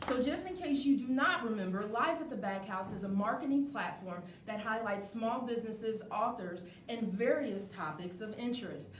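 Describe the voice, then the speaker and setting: A woman's voice: a little high, moderate pace, few pauses. A woman hosting a live talk show and interviewing guests. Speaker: show host. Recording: echoey, distant mic, faint noise.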